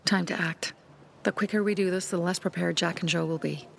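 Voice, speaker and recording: A woman's voice: on the low side, fairly even intonation, fairly quick, vocal fry, a bit bright, a little hiss or hum. A woman voicing a determined young female resistance leader in a video game, speaking earnestly and resolutely. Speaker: settlement leader.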